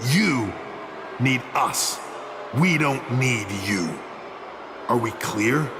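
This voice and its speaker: A man's voice: medium pitch, animated, drawn-out words. A man playing a menacing, condescending wrestling executive who commands everyone in a video game cutscene. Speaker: wrestling authority figure. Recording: clear ambient noise behind voice.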